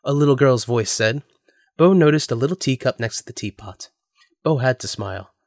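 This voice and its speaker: A man's voice: mid-range voice, animated, moderate pace, creaky, dry recording, studio quality. A man reading scripted story narration as the narrator of an audio drama.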